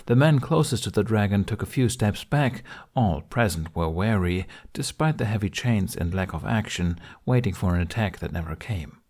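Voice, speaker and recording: A man's voice: on the low side, animated, moderate pace, few pauses, a little mellow. A man reading scripted story narration as an audiobook narrator.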